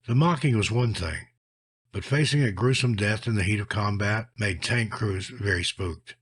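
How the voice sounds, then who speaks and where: A man narrating scripted scary stories in a measured, dramatic storytelling manner, letting his phrases drop at the end. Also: fairly low pitch, breathy edge.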